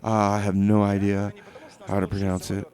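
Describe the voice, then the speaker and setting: A man hosting a radio show with casual, rambling DJ patter in a halting, tongue-in-cheek, mock-official manner. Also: on the low side, completely flat delivery, a little mellow.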